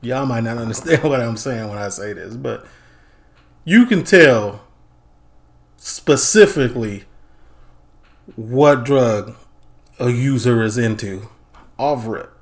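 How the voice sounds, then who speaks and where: A man hosting a reaction video, talking in animated, slang-heavy remarks. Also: medium pitch, slow pace, long pauses. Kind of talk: casual reaction commentary.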